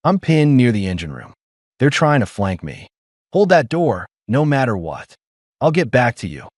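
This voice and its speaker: A man voicing a weary, gruff human ex-mercenary who speaks low and clipped. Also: big pitch swings, normal speed, a little mellow, no room sound, clean studio sound. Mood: urgent.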